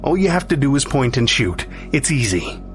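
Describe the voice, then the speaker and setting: A man's voice: medium pitch, big pitch swings, normal speed, fairly bright tone, soft background music, pitched above the performer's own voice. A man playing a driven, scheming man in an audio story, explaining himself insistently. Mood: coaxing. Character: scheming, driven man plotting his own resurrection.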